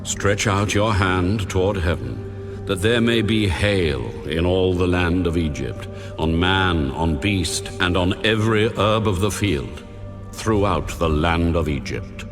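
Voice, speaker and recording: Casting: God for scripture audio drama, deep, commanding, measured delivery over prominent music. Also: male voice. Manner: grave, measured, authoritative. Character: God, commanding, solemn divine voice.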